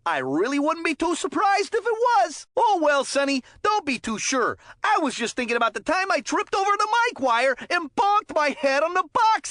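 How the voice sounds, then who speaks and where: High-pitched, excitable kid hockey commentator. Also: female voice, no room sound, clean studio sound. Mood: chatty. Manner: chirpy, folksy, giggly. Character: excitable, clumsy, good-natured child hockey commentator. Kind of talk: cartoon video game sports commentary.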